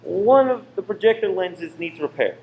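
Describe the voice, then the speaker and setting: A man's voice: sits high, expressive, normal speed, darkish tone. A man playing a tense, secretive married cinema owner, insistent and controlling, in a radio play. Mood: urgent coaxing. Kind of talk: amateur radio play acting. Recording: roomy echo with faint noise.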